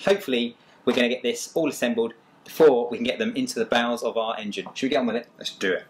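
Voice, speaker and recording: A man's voice: mid-range voice, fast talker, a little hiss or hum. A middle-aged man co-hosting a workshop show, narrating an engine rebuild in a cheerful, jokey way with playful slang. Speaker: co-host restoring a tractor engine. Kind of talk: upbeat workshop narration and banter. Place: garage workshop, voice-over in part. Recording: roomy sound with music underneath.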